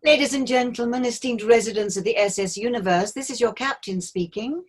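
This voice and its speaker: An actress in a staged reading, playing a ship's captain making a formal, reassuring announcement to passengers. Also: female voice, on the high side, brisk, close to an everyday voice. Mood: officious, reassuring. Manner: formal, cheery announcement delivery.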